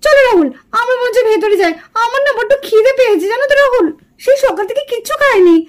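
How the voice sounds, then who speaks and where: A woman's voice: extremely high pitch, lively intonation, quick pace. A woman playing a vain, whiny young city woman in a puppet cartoon, gushing and pouting in an affected way with bits of English. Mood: complaining, clingy. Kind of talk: puppet cartoon drama acting.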